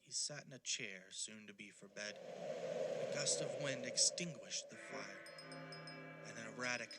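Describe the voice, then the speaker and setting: A man's voice: lowish voice, normal speed, loud music behind the voice, natural voice. A man giving scripted storytelling narration as the narrator of an audio drama.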